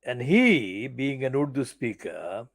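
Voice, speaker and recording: Older male commentator, slow and emphatic, lively swooping pitch, profane and furious. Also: creaky. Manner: slow, deliberate phrasing with stressed words. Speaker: channel editor giving commentary. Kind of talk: personal political monologue.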